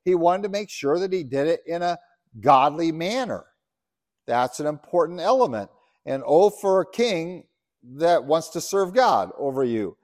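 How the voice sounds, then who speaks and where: A man's voice: fairly high pitch, sing-song, unhurried, no room sound, studio quality. A middle-aged man from Uganda preaching a sermon as pastor, earnest, rising to emphatic scripture reading. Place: church.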